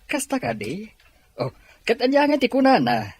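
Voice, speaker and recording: A man playing a caring middle-aged father in an audio drama, with a husky voice. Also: very high voice, huge pitch swings, normal speed, heavily acted. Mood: curious. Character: caring father of a blind daughter.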